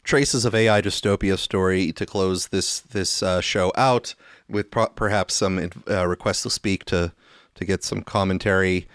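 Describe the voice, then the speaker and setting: Male host, on the deep side, casual, hesitant delivery that circles and stumbles, little room sound. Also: fairly even intonation, measured pace, smooth, dry recording, studio quality. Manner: hesitant, stammering, roundabout phrasing. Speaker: podcast host. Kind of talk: casual chat.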